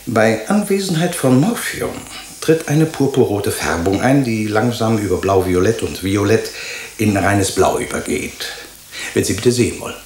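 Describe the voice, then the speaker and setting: An actor playing a courteous, pedantic laboratory chemist in a radio drama, explaining things precisely and deferentially. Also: male voice, fairly low pitch, expressive, normal speed, darkish tone, natural voice. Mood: precise, polite. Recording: roomy echo, faint hiss.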